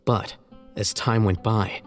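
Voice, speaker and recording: A cool, unruffled young scholar from a video game. His voice is fairly low, deadpan and precise, over faint music. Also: slow. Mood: expository.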